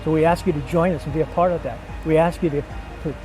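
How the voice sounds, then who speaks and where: A man speaking earnestly and in measured phrases, giving a personal testimony as a missionary. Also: fairly high pitch, fairly even intonation. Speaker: missionary couple member seeking support. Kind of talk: documentary-style personal testimony and appeal. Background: background music.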